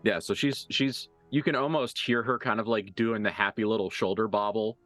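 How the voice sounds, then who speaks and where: A man's voice: on the low side, fairly even intonation, normal speed, fairly bright tone, soft background music. A man acting as game master, improvising narration for a tabletop roleplaying game in a casual, mildly profane way.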